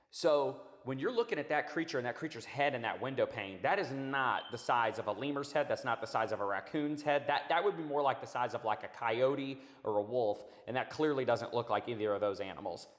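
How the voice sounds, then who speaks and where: A man hosting a channel, talking casually and rambling over clips, with a raspy voice that is hoarse from a recent illness. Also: mid-range voice. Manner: rambling, laid-back, says dude and man. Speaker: channel host. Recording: hollow tunnel-like echo.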